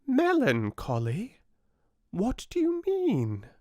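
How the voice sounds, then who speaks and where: A tiny, joyous storybook mayfly. The voice is pitched far up and swoops about with innocent wonder and eagerness, recorded dry. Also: male voice, wildly swooping pitch, takes it slowly, mellow, no echo, exaggerated voice. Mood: puzzled, joyous. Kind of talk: storybook character voice.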